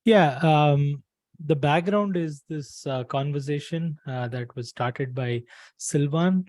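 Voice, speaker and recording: A man in his thirties explaining an issue on a work video call in a halting, measured way. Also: on the high side, darkish tone, no room sound. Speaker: open source community member presenting an issue. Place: bedroom or small room, video call.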